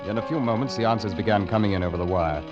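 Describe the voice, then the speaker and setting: An actor playing a calm, methodical police inspector who narrates his case in a measured way in a mid-century radio drama. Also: fairly low pitch, dull audio, close to an everyday voice. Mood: narrating. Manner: measured, deliberate, logical. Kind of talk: 1940s-50s radio drama acting. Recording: vintage radio drama with music behind.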